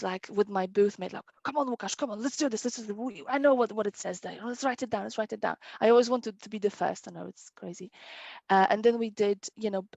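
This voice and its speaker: A woman in her thirties from Poland, Polish her first language, telling her story as a guest interpreter in an eager, animated way, laughing often. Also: medium pitch, vocal fry, no room sound. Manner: self-described competitive.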